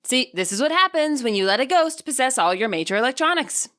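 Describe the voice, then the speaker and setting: A woman narrates scripted material in a high voice with a bright, forward edge. Her reading is expressive, in the manner of an author presenting her own audiobook. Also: a little fast.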